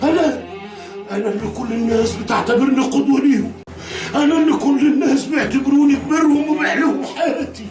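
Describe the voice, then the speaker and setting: An enraged Egyptian father who shouts fast and very high as he throws his son out. He sounds far off in a roomy space, with music and a crowd clearly behind him. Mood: furious. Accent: Egyptian Arabic. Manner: shouting, furious. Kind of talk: TV drama acting.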